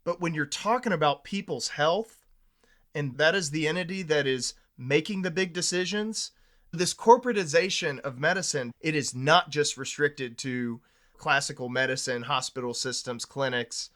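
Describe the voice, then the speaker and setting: A man's voice: on the high side, normal speed, a bit bright. A man hosting a podcast, talking in a candid, opinionated and conversational way.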